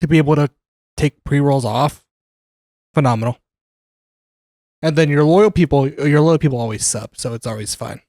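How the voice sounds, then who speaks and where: A man's voice: a little high, normal speed, pauses often, warm tone, dry recording, studio quality. A man hosting a podcast, talking in a laid-back way and swearing casually.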